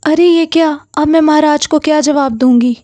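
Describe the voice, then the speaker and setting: A woman's voice: sits high, normal speed, dark tone, no room sound, close to an everyday voice. A woman voicing a loyal, earnest cow who serves a king in a children's story. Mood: panicked. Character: loyal, earnest female cow, a trusting royal servant. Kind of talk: children's story character acting.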